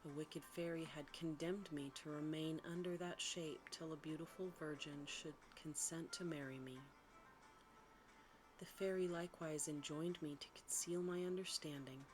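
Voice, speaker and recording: A woman voicing a gentle, lovelorn enchanted male beast-prince in a hushed, earnest storybook manner. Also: deep voice, fairly even intonation, moderate pace, soft background music, close to an everyday voice, poor audio. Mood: grateful, earnest.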